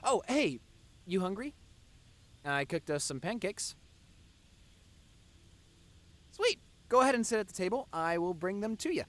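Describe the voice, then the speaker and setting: Man playing a goofy nerdy boyfriend, animated voice. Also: high voice, normal speed, voice pushed up. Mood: playful teasing. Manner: teasing, stammering when flustered. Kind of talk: intimate boyfriend audio roleplay.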